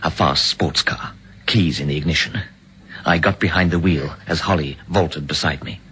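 An actor playing an urgent, exasperated man trying to stop a bomb plot, switching between brisk dialogue and grave first-person narration, in a 1950s radio drama. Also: a bass voice. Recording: hissy old radio broadcast.

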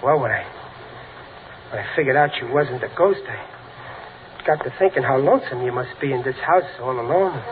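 An actor playing a bumbling, good-hearted middle-aged American father in old radio comedy, stammering and folksy with rough working-class grammar. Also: mid-range voice, expressive, normal speed, pauses often, lightly acted. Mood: gentle, chatty. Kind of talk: 1940s-50s radio comedy acting. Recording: noisy, tinny vintage radio recording.

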